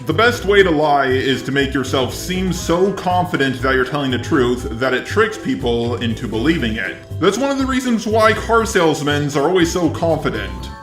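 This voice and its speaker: A man's voice: a little high, lively intonation, moderate pace, hardly any pauses, fairly bright tone, roomy sound, faint music behind. A synthetic robot male voice delivering scripted video commentary.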